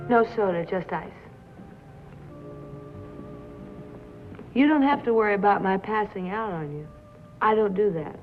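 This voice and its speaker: An actress playing a tough, bitter young nightclub singer who drinks, with an American accent and a sardonic, drawling delivery, in a 1950s film drama. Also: female voice, mid-range voice, natural voice. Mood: boozy, provocative. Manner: sardonic, drawling, dwelling on and repeating words. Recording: tinny, hissy 1950s film soundtrack.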